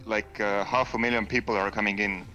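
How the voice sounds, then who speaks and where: A man from Estonia with an Estonian accent, chatting casually in a second language, halting and searching for words. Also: fairly low pitch, level tone, bright, forceful tone, faint music behind, rough recording. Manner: halting, many ums and uhs, searching for words. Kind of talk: casual voice chat.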